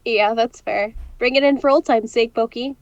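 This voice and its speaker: Actress as a high-voiced sardonic young woman. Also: steady tone, normal speed, dry recording, dull audio, lightly acted. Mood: playful teasing. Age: young adult. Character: sarcastic lonely young woman, playful dorky friend. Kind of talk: romantic comedy audio drama acting.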